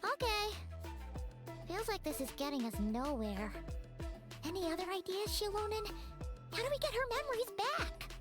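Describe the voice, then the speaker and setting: A woman voicing a tiny, excitable, childlike fairy companion from a game, with a squeaky voice. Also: moderate pace, long pauses. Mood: exasperated. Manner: refers to herself by name, exclamatory. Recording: game music behind the voice.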